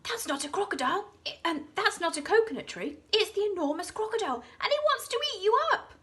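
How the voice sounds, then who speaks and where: A woman voicing frantic male jungle animals, shouting urgent warnings in a high voice. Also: animated, fast. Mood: alarmed. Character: frantic male hippo and monkey warning children.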